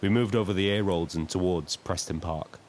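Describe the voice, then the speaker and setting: Low-voiced, lonely taxi driver played by a man. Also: moderate pace, darkish tone, slight background noise, lightly acted. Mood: reflective narration. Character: lonely, nervous, kindly Brighton taxi driver narrating his story. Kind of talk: audio drama narration and dialogue.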